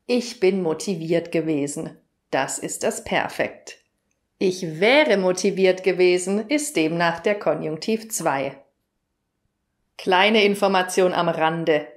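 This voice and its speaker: A German woman teaching grammar in a lesson video, explaining clearly and asking the viewer questions. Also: fairly low pitch, slow.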